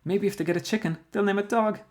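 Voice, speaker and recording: A man playing an eager storybook schoolboy, pitched up from an everyday male voice. He rambles on proudly and excitably, full of childish enthusiasm. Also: quick pace, natural voice. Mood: excited, eager.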